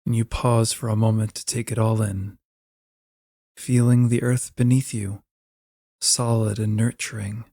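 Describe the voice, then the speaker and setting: Slow, mellow man guiding meditation. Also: fairly low pitch, little pitch movement, vocal fry, no echo, clean studio sound. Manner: gentle, unhurried instruction with soft phrasing. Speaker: guided meditation narrator. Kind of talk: slow soothing guided meditation, scripted.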